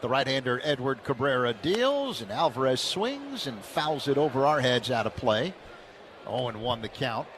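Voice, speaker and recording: A man calling live baseball play-by-play on radio, brisk and steady pitch by pitch. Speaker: play-by-play announcer. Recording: noisy radio broadcast.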